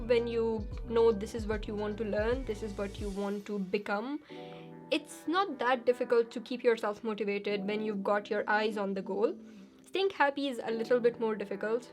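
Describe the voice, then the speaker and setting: Young woman chatting thru a q&a, laughs a lot, a bit high voiced, soft music under. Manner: chatty, laughs easily, reads questions aloud then answers. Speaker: YouTuber answering viewer questions. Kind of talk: casual Q&A vlog.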